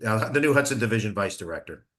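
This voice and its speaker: A man's voice: fairly low pitch, expressive, fast, a bit bright. A man talking casually as a participant on a group conference call. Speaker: meeting participant.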